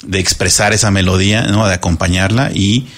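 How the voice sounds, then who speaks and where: A man with a Mexican accent, a musician guest on a radio interview, talking relaxed with many fillers and tag questions. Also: low-pitched, a little fast.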